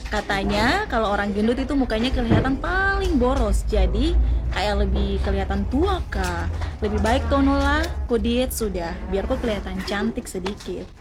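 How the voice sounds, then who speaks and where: A woman's voice: a little high, animated, normal speed, music in the background, lightly acted. A woman playing a young office friend who mocks a colleague in a joking tone. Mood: teasing. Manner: mocking, joking.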